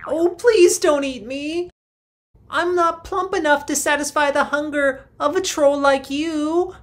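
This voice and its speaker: A woman voicing a middle-sized, nervous male billy goat in a high, fluttery, pleading way. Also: measured pace.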